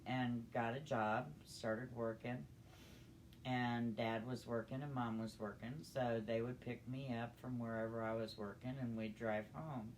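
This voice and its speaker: A woman's voice: extremely low pitch, darkish tone, rough recording. Older woman reminiscing, noticeable background noise. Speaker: storyteller grandmother. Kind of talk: personal reminiscence, conversational storytelling.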